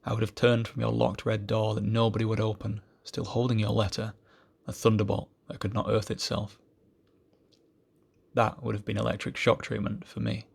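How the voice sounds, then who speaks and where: A man giving an amateur poetry reading in a solemn style, with measured, deliberate phrasing. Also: lowish voice, gravelly, a little mellow, husky.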